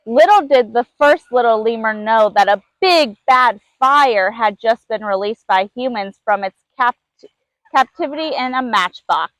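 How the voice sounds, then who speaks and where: A woman's voice: a little high, unhurried, fairly bright tone, dry recording, rough recording. A woman reading a children's storybook aloud as a narrator. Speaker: zoo keeper reading a story.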